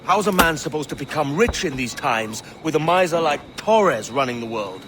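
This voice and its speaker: Roguish pirate, noisy surroundings. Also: male voice, fairly high pitch, animated, normal speed, a bit bright. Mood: grumbling. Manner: irreverent, colloquial, sly. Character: brash, greedy, roguish pirate man posing as another. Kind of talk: video game cutscene acting. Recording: noisy ambience behind the voice.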